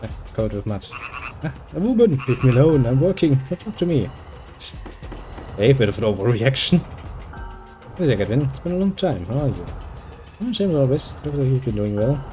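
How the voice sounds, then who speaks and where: A man's voice: mid-range voice, sing-song, fairly quick, long pauses, mellow, faint music behind. A man reading game dialogue aloud and adding dry, sarcastic jokes as he plays. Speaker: gameplay video host.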